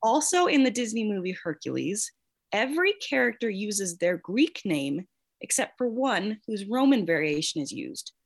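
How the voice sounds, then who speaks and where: A woman's voice: medium pitch, very animated, moderate pace. A woman hosting a quiz as guest quizmaster in a casual, encouraging way.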